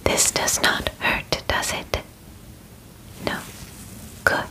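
A young woman in a soft, breathy whisper, speaking calmly and clinically in an ASMR examination roleplay, close to the microphone. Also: poor audio. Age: young adult. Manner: slow, calm, clinical, formal phrasing. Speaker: ASMR performer. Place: small bedroom, indoors. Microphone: close microphone, out of frame.

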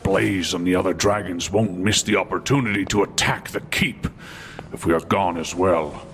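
A man's voice: sits low, normal speed, a bit bright, slight background noise. A man playing a grave, cautious dragon ally in a fantasy audio drama.

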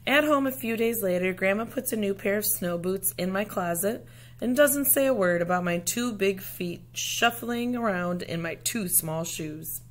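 Expressive woman reading a picture book aloud. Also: mid-range voice, moderate pace.

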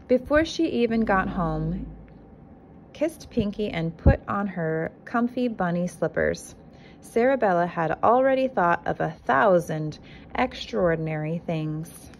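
Low-pitched woman reading a picture book aloud. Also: lively intonation, a little slow, vocal fry, rough recording. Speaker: kindergarten teacher reading aloud. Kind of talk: picture book read-aloud to young children. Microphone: handheld phone filming the book. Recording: noticeable background noise, amateur phone recording.

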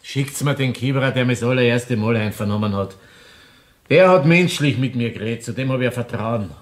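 An actor playing a bitter, woman-hating killer in a crime radio drama, confessing deliberately in Viennese dialect. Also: medium pitch, natural voice. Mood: insistent. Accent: Viennese Austrian. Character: confessing opera-house murderer, brooding, bitter woman-hater in his thirties.

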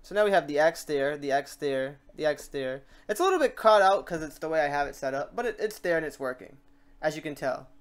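A man hosting an off-the-cuff software tutorial, informal and rambling, muttering to himself as he works. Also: a little high, lively intonation, brisk, clear, resonant tone. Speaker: tutorial host.

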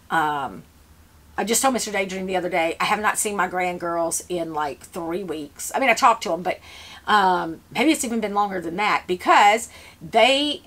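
A woman, chatting casually to the camera while she tends seedling trays in a seed-starting shed, rambling with lots of fillers and restarts. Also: medium pitch. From Louisiana, US. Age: 50s. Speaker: flower farmer. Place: indoor seed-starting shed with grow shelves.

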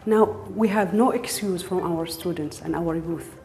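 Low, creaky, mellow woman's voice. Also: unhurried. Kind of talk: interview answers for a promotional film.

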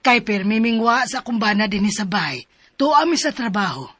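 A woman acting as a harsh middle-aged female employer who scolds her helpers with sharp, berating insults in a radio drama. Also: medium pitch, big pitch swings, fairly quick, husky, no echo. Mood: stern.

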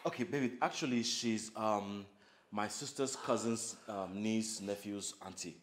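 A woman's voice: a bass voice, unhurried, reverberant, voice pushed down. A woman voicing an evasive young husband who stammers through fumbling excuses. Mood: flustered.

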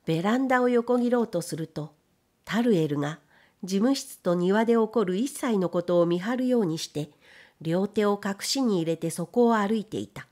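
A woman narrates classic fiction for an audiobook. Her voice sits at a medium pitch, and she reads from the text in a literary storytelling style. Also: lively intonation, moderate pace, a little mellow.

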